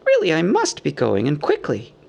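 A man voicing a small, fussy, anxious male hobbit from a storybook, squeaky, polite and rambling in a businesslike way. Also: huge pitch swings, normal speed, vocal fry, darkish tone, dull audio, full character voice. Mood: polite, hurried. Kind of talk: storybook character voice.

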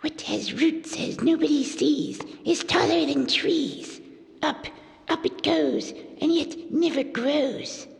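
A man's voice: extremely high pitch, slow pace, vocal fry, big-room sound, voice pushed up, full character voice. A man voicing a lonely, scheming cave creature who hisses and whispers, talks to himself and mangles his grammar in a storybook reading. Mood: sly riddling. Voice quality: hissing whisper.

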